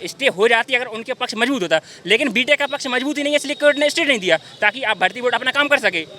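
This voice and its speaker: Young male, very sharp bright voice, quick argumentative talk at a street protest. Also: extremely high pitch, animated, a little hiss or hum. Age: young adult. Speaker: job candidate at a protest. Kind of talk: street interview. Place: outdoors by a city street.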